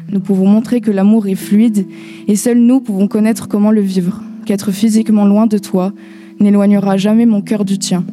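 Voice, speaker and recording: Woman reading poetic column, pretty flat delivery, guitar music behind her. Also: mid-range voice. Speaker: radio columnist. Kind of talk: scripted poetic monologue read aloud.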